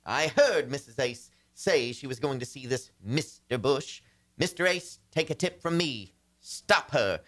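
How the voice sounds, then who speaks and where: A man's voice: medium pitch, expressive, unhurried, a bit bright. An actor playing a flustered, henpecked married businessman in a radio comedy, pleading in a melodramatic, agitated way. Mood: urgent pleading. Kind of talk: old-time radio comedy acting.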